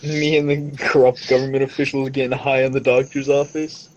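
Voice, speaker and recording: Mid-pitched man joking over a tabletop game. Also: little pitch movement, brisk, highs cut off. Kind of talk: casual joking chat.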